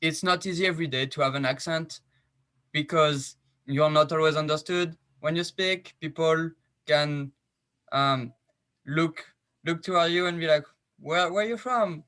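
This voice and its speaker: A young man with a French accent speaks in a slightly high voice, pausing often and filling gaps with ums and uhs. He comes through a video call. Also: pushed and bright. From France. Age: young adult. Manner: hesitant, many fillers. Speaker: scholarship recipient. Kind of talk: casual self-introduction on a video call.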